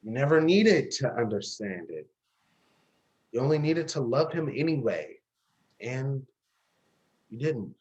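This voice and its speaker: Man acting defiant young man, grieving, sarcastic bite, confrontational drama dialogue, lively swinging intonation. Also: medium pitch, a little slow, long pauses, muffled recording. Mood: bitter.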